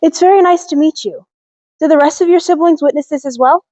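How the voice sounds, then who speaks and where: Actress as inquisitive reporter, very high voice, formal prompting delivery, drama, dry muffled recording. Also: female voice, fairly quick, mellow, no echo, close to an everyday voice. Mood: formal, inquiring. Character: inquisitive, amazed news interviewer questioning a family. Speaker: interviewer.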